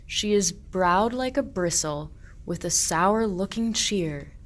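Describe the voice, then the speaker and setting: A woman playing a grumbling, henpecked male shepherd in a radio play, declaiming verse deliberately. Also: medium pitch, close to an everyday voice. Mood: bitter grumbling. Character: grumbling henpecked old-world male shepherd, weary of cold and marriage.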